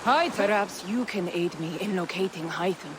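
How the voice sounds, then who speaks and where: A woman's voice: fairly low pitch, moderate pace, natural voice. Woman playing a courteous eastern trader, noisy outdoors. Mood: polite. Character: courteous, evasive foreign trader from the east, smooth envoy. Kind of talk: dramatic video game acting. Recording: clear rain and water noise behind.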